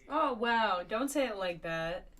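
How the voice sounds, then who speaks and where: A woman's voice: medium pitch, lively intonation, unhurried, a bit bright. A woman giving casual, informal live commentary as she plays a video game, reacting aloud as she goes. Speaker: game streamer. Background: video game sounds.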